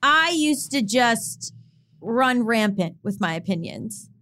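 A woman co-hosting a podcast in casual chat, with a fast, intense delivery that sounds like she is arguing even when she is just talking. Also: a little high. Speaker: podcast co-host.

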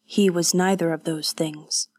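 A woman voicing an awkward, earnest young male warrior who speaks haltingly and often drops to a whisper. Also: fairly low pitch, steady tone, darkish tone, dry recording, studio quality.

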